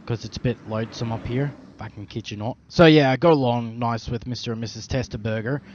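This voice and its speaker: A young man with an Australian accent tells a long, rambling story, swearing at nearly every turn and dropping plenty of slang. He speaks at an ordinary middle pitch. The recording is dull and lo-fi, with a faint hum of passing vehicles behind him. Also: fast talker, darkish tone. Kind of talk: rambling in-character monologue.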